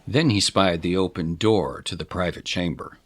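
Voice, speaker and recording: A man's voice: sits low, moderate pace, loud music behind the voice. A man narrating a scripted dramatic story in the manner of an audiobook.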